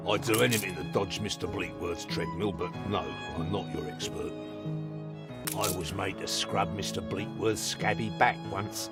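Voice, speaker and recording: An actor playing a downtrodden, evasive prisoner in a video game, grumbling and self-pitying. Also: male voice, deep voice, steady tone, normal speed, loud music behind the voice. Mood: wary, grumbling.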